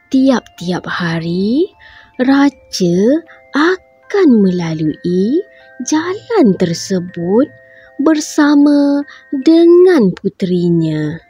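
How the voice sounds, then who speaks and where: A woman reading a bedtime story for children, in a slow, gentle, sing-song way with a breathy voice. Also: medium pitch.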